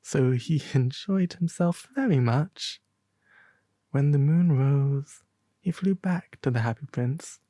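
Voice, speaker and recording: A man narrating a fairy tale in a soft, breathy ASMR storytelling style, gentle and theatrical, close to the microphone. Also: mid-range voice, slow pace, dry recording. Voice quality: soft, breathy, at times whispery.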